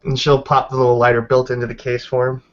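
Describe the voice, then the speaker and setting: A man's voice: mid-range voice, completely flat delivery, fast talker. A man improvising third-person narration as a player in a tabletop role-playing game. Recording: slightly muffled lo-fi audio.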